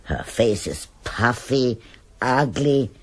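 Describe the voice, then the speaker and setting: A woman's voice: rumbling low, lively intonation, slow, full character voice. An actress playing a stubborn, judgmental older woman in a radio drama. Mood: scornful. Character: stubborn, defensive old German woman, gossipy neighbour.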